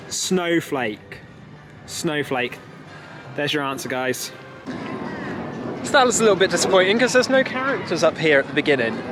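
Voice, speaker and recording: A young British man with a slightly high-pitched voice talks casually to his camera. He sounds like a vlogger recording himself outdoors at arm's length. Music plays clearly behind him. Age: young adult. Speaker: theme park vlogger. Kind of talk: casual vlog chat to camera. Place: outdoors in a theme park.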